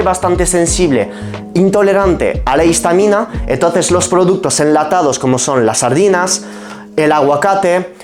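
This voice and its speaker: Guy with a light french accent teaching about food, talks to camera, soft music under him. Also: a little high. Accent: French-accented Spanish. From France. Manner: didactic, asks and answers his own questions. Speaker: pharmacist and nutrition YouTuber. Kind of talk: explanatory nutrition talk to camera.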